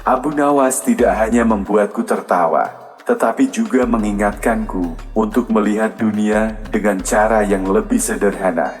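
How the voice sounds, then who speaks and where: A man's voice: on the low side, normal speed, lightly acted. A man voicing an amused, appreciative king with a regal, approving manner in a storybook tale. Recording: long reverb, music behind.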